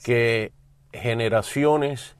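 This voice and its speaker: A man's voice: medium pitch, extremely slow, clean tone, dry recording. A middle-aged man from the United States, a studio guest speaking earnestly and with feeling. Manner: earnest, heartfelt. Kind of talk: interview talk.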